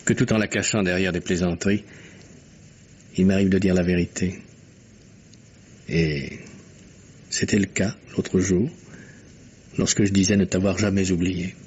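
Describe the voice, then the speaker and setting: Male captain, husky, hesitant, joking, bantering, dull 1950s radio mystery sound. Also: low-pitched, fairly even intonation, measured pace, long pauses, darkish tone. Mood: wry, tender. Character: baffled, joking captain, a philandering husband. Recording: dull vintage radio recording.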